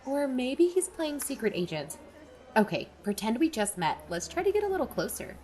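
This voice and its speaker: A woman's voice: sits high, sing-song, moderate pace, darkish tone, a little hiss or hum. An actress playing a wary, candid young woman who narrates and talks in an audio drama in a conversational way. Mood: wry, curious. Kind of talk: first-person audio drama narration and dialogue.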